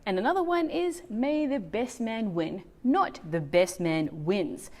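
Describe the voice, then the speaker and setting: A woman presents a scripted lesson as a language teacher. She is a native English speaker and explains each point emphatically, at a middling pitch, addressing the viewer directly. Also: lively intonation, a little slow, darkish tone. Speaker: host, non-native Italian teacher.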